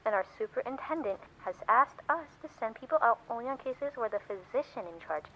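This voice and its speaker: An actress playing a polite, detached hospital receptionist in a radio play, formal and officious, with dull sound. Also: female voice, a little high, expressive, a little fast, a little hiss or hum. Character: formal, unhurried hospital receptionist woman on telephone.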